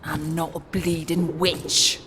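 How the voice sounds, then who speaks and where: A woman's voice: sits low, little pitch movement, slow pace. A woman voicing an ancient, cantankerous London street woman who scolds and mutters in a comic dub, with a London accent. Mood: menacing. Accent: British, London. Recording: clearly noisy background.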